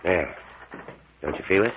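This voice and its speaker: A man's voice: low-pitched, a little slow. An actor playing a superstitious, fatherly small-town doctor with an American accent and a folksy, foreboding manner, in an old-time radio mystery. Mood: uneasy, warning. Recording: muffled, noisy old radio recording.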